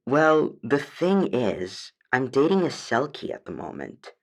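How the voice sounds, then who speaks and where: A woman's voice: rumbling low, big pitch swings, unhurried, full character voice. A woman voicing a meek, hesitant dryad calling a radio advice show in an audio drama, unsure and trailing off. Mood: hesitant, sheepish. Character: hesitant, meek female dryad, homesick for her forest.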